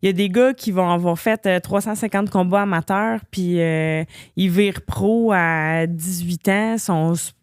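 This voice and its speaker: A woman's voice: fairly low pitch, fairly even intonation, measured pace, hardly any pauses, clear, resonant tone, no room sound, clean studio sound. A woman in her thirties with a Québécois French accent, a boxer chatting as a podcast guest with many fillers. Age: 30s. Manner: chatty, frequent fillers, laughs easily. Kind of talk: casual conversation.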